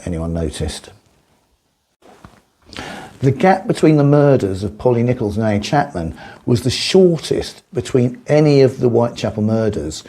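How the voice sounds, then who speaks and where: Gravelly man presenting history, husky and mellow. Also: on the low side. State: slight winter cold. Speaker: presenter of a history video series. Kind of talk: scripted true-crime history narration with asides.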